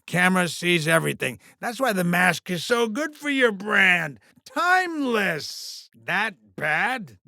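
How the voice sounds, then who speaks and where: High-pitched crass alien showbiz mogul. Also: male voice, sing-song, gravelly, pushed and bright, no echo, studio quality, heavily acted. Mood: slick, dismissive. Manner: bombastic, fast-talking huckster, foul-mouthed, falsely chummy. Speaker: arena show promoter. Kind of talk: video game voice acting.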